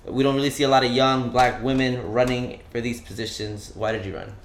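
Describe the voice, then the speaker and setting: A man's voice: medium pitch, little pitch movement, a little fast, a little hiss or hum. A man hosting a weekly live show, talking in an upbeat, enthusiastic and playful way. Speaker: host. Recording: echoey room.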